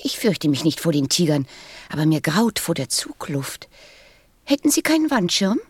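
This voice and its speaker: Vain, coquettish flower, swooping intonation. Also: female voice, low-pitched, big pitch swings, normal speed, slightly rough, dry recording, heavily acted. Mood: proud, affected. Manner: affected, haughty formal address. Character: vain, coquettish female flower, fussy and touchy. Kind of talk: radio play acting.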